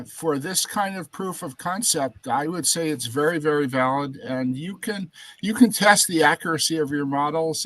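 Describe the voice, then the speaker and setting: A man's voice: on the high side, fairly bright tone, low-quality recording. A man from California chatting on a video call, giving generous, enthusiastic praise. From California, United States. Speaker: community participant. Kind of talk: casual video call chat.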